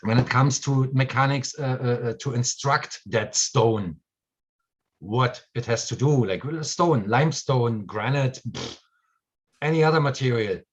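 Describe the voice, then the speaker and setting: A man's voice: medium pitch, measured pace, breathy edge, lo-fi sound. A man with a German accent, hosting a casual live stream and rambling with many fillers and restarts. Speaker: host.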